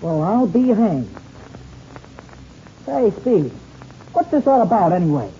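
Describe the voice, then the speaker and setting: An eager, friendly teenage boy pilot in an old radio adventure serial. His delivery swings up and down with curiosity, and the tone is very dark. The recording is dull, with a light hiss. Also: sits high, big pitch swings, slow pace, exaggerated voice. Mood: puzzled.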